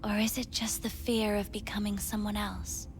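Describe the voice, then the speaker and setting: An actress playing a calm, probing female android companion in a game cutscene, speaking in a soft, breathy half-whisper. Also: mid-range voice, normal speed, over music, close to an everyday voice. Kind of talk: video game cutscene acting.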